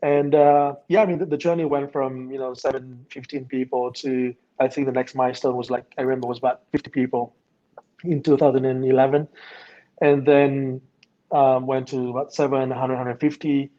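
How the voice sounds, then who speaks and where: Middle-aged man from Vietnam, agency founder, halting interview answers, muffled lo-fi mic. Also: mid-range voice, level tone, mellow. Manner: hesitant, many ums and you-knows. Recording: dull lo-fi sound.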